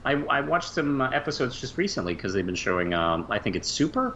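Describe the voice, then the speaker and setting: A man co-hosting a podcast in a casual fan discussion, speaking haltingly and often repeating words as he goes. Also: very animated, sharp tone. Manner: halting, trailing off mid-phrase and repeating words. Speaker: podcast co-host. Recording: muffled low-fi mic with faint hiss.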